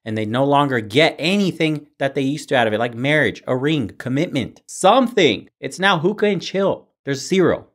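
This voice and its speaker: A man's voice: mid-range voice, expressive, moderate pace, hardly any pauses. A man reacting to video clips as host, blunt, scolding and sarcastic. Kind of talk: commentary on clips.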